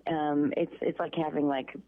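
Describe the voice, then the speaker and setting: A middle-aged woman with a Chicago accent, chatting as an interview guest, halting and restarting often. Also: low voice, little pitch movement. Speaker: voice actress guest. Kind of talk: casual interview by phone. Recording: tinny phone line.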